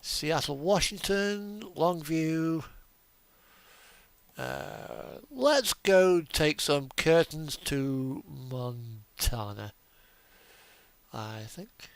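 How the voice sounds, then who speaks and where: A man talks through his gameplay in a casual, rambling way, unhurried and with a gravelly creak. His voice sits a little high for a man. He often mutters as if thinking aloud. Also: animated, no room sound. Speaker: gaming video host.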